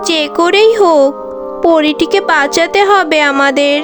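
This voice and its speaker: A caring mother fox in a children's cartoon, acted by a woman. She speaks earnestly in a high, slow voice, brave and reassuring, over faint music.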